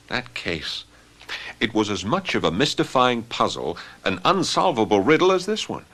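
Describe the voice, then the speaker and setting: A man's voice: fairly low pitch, sing-song, unhurried, fairly bright tone, exaggerated voice. A man acting in a radio drama as a jealous, ambitious young gendarme, earnest and dramatic in delivery. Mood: earnest, eager.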